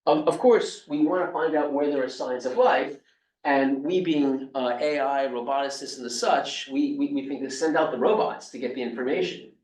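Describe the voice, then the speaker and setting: A man's voice: mid-range voice, moderate pace, darkish tone. A man from New York with a New York accent, giving a casual academic seminar talk full of fillers and restarts. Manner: loose and conversational, with many fillers and restarts. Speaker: lecturer. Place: seminar room. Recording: echoey room, speaker far from the mic.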